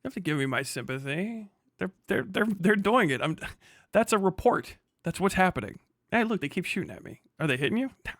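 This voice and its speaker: A man's voice: high voice, sing-song, brisk, a bit bright, dry recording, clean studio sound. A man livestreaming casual reaction commentary, swearing freely, mocking and often laughing as he talks. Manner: profane, mocking; laughs often while talking.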